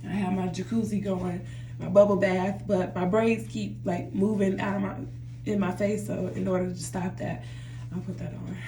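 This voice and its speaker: A woman with a mellow, dark-toned voice speaks earnestly to her viewers, looping back over her words and calling them "y'all." She records on a phone in a hard-walled bathroom that rings with echo. A faint hum sits underneath her. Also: medium pitch, level tone, moderate pace. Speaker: vlogger. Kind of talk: reflective personal vlog. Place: home bathroom by a running jacuzzi.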